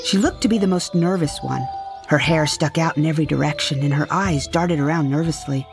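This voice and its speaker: A woman's voice: low-pitched, fairly quick, music in the background. A woman narrating a scripted first-person audio drama as its storyteller.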